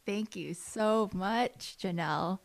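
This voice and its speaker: A woman's voice: medium pitch, gravelly. A woman hosting a podcast, wrapping up an interview with friendly thanks and laughter. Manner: friendly, giggly, quick thanks. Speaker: podcast host. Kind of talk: casual interview.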